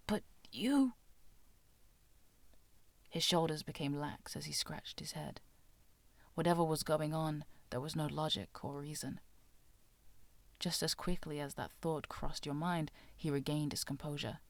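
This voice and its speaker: A woman narrating fiction as an amateur audiobook reader, with an expressive, theatrical delivery. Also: low-pitched, moderate pace, gravelly, no room sound. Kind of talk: dramatic scripted narration of fan fiction.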